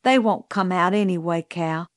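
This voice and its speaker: A woman's voice: lowish voice, moderate pace, dry recording, studio quality, natural voice. A woman voicing an earnest, insistent Southern boy in an audiobook, plain-spoken, with a Southern US accent. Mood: resigned. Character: earnest Southern boy, older brother, worried and stubborn. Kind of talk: audiobook character voice.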